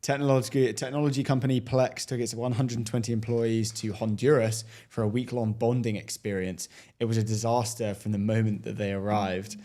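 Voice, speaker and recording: A man co-hosting a casual talk show in a dry, low-key way, also reading an ad and articles. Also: little pitch movement, fairly quick, dark tone. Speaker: co-host. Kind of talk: casual chat.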